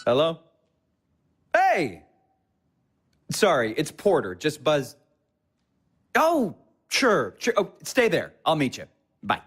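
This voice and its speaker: An actor in an audio play as an earnest, mild young husband who speaks gently and a little hesitantly. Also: male voice, a little high, huge pitch swings, takes it slowly, long pauses, slightly rough, heavily acted.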